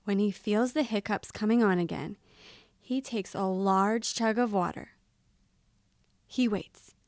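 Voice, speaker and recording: A woman reading a children's storybook aloud expressively, with deliberate, dramatic pauses. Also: mid-range voice, darkish tone, no room sound, clean studio sound. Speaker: storybook reader.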